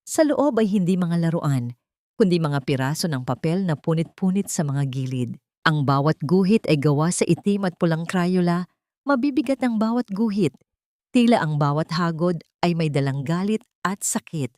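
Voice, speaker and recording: A woman with a low voice narrates an audio drama in a measured, dramatic way. Her voice rises on tense moments. The recording is dry and close, with no room sound. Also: animated, a little mellow, dry recording.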